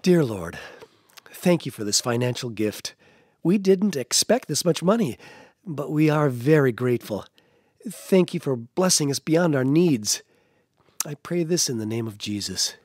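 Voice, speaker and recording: A man's voice: mid-range voice, lively intonation, a little slow, darkish tone, no echo, studio quality. An American actor playing a cheerful, devout middle-aged father in a family radio drama. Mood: earnest, gentle.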